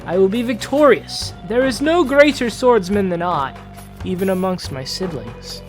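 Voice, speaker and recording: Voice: medium pitch, expressive, moderate pace, over music, natural voice, rough recording. A performer voicing a proud, entitled eldest prince, reading fantasy journal entries in a formal, theatrical manner. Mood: boastful, determined. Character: Prince Johannes, proud, haughty, entitled eldest royal son on a quest. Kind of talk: dramatic fantasy journal narration, fan dub.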